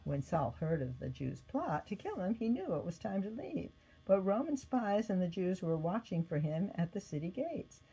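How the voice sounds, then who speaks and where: A woman reading a scripted story aloud as narrator, with expressive delivery. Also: mid-range voice, moderate pace, breathy edge, rough recording. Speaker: storybook reader.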